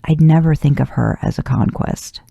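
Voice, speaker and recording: A gruff, protective man from an audio story, as a woman reader puts him on. He is easily flustered and defensive, speaking haltingly with a creaky, mellow tone. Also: sits low, dry recording, heavily acted.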